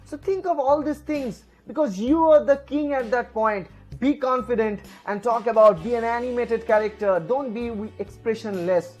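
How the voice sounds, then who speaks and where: A man from India, a native Bengali speaker with an Indian English accent, giving tips to camera with lively swings in pitch and many fillers. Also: moderate pace, few pauses, faint music behind. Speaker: educator. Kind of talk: lecture to camera. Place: room, alone with camera.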